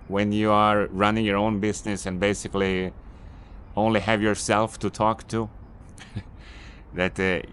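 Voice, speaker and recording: A man's voice: sits low, a little hiss or hum. A Swedish man who speaks English as a second language, giving unscripted, somewhat repetitive and mildly annoyed advice while filming on a city street. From Sweden. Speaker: vlogger, business owner. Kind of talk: unscripted vlog monologue.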